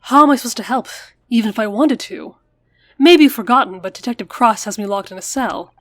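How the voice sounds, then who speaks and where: A woman's voice: a little high, fairly quick, dry recording, natural voice. A woman with a tired, weak voice reading the lines of an indignant male lawyer locked in a cell, throwing back defensive, bitter retorts.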